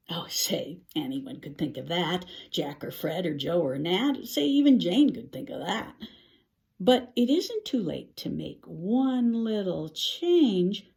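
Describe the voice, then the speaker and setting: An older woman performs a rhyming storybook with theatrical expression. Her medium-pitched voice moves in lively swoops, and she goes slowly, pausing for drama as if musing over each new idea. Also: vocal fry. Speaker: picture-book reader. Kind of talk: animated read-aloud of a rhyming children's story.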